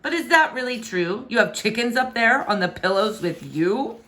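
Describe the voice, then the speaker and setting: A woman's voice: a little high, expressive, normal speed, sharp tone. A woman voicing a pompous, fussy, indignant king and father for a children's storybook, in a theatrical, scolding way. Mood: suspicious. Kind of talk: expressive children's storybook read-aloud.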